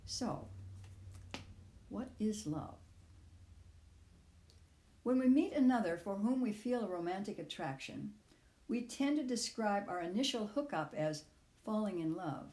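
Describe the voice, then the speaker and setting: An older woman reading her own personal essay aloud in measured, deliberate phrases. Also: medium pitch, lively intonation, a little mellow, roomy sound.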